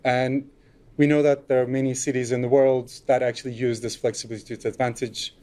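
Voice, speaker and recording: A man's voice: medium pitch, flat delivery, a little fast, warm tone. A middle-aged man from Turkey giving a conference talk at a lectern, loose and unscripted, with frequent fillers. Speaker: conference speaker. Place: lecture room, at a lectern.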